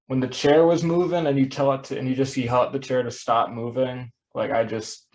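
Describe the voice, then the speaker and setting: A man's voice: medium pitch, steady tone, fairly quick, darkish tone, poor audio. A young man hosting a casual interview, easygoing and leaning on lots of "like" fillers. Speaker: podcast host.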